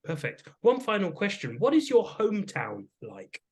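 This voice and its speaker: A man with a fairly high, animated voice plays examiner in a mock speaking test. He asks short questions and answers each reply with quick, encouraging praise. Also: husky. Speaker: English teacher acting as examiner.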